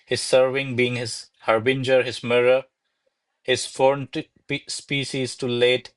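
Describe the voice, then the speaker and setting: A man's voice: medium pitch, flat delivery, measured pace, natural voice. A man voicing a cheeky, talkative male servant with a wry, rambling manner in a radio play.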